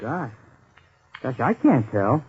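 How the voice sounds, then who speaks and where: An actor playing an eager, boastful young small-time crook in slangy, excitable 1940s radio crime drama style. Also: male voice, medium pitch, slow pace, husky, heavily acted. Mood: excited, boastful. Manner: slangy, excitable tough-guy talk. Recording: muffled, phone-thin vintage 1940s radio recording.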